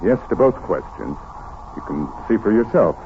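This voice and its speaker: The sound is a thin vintage radio recording with some hiss. In it is a tough, bitter husband, low-pitched and terse, with an American accent and hard-edged old radio delivery. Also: male voice, very animated, moderate pace, phone-quality sound. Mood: defensive. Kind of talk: 1940s radio acting.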